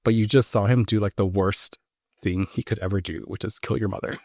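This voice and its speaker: A man co-hosting a podcast, reviewing films in a casual, halting conversational way. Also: on the low side, fairly even intonation, a little mellow, dry recording, clean studio sound. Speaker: podcast co-host. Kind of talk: casual film review chat.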